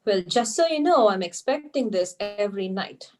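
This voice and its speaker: A woman's voice: mid-range voice, lively intonation, moderate pace, darkish tone, faint music behind, close to an everyday voice. A woman playing a wry, guarded fiancée in a scripted table read, with a dry, skeptical delivery. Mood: pleasantly wry. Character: wry, guarded young woman engaged to a spy.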